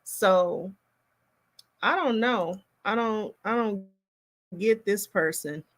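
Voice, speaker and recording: A woman hosting a casual reaction livestream, thinking aloud in a halting, musing way. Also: mid-range voice.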